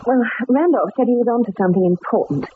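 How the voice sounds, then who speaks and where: An actress voicing a grieving young woman, a murdered man's fiancée, in a vintage radio drama, speaking softly and tearfully. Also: fairly high pitch, lively intonation, fast talker, natural voice. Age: young adult. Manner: tearful, fragile, softly spoken. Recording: lo-fi old radio broadcast with hiss.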